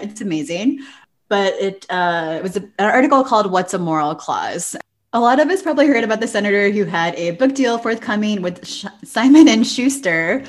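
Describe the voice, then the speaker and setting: A woman co-hosting a podcast, talking through news in an informal, conversational and hedging way. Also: medium pitch. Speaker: podcast co-host. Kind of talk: casual book news discussion.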